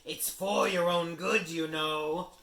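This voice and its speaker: A man voicing a mischievous male poltergeist who taunts in a shrill, cackling sing-song. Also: steady tone, slow, gravelly, pushed and bright, heavily acted. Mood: gleeful taunting. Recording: roomy with faint hiss.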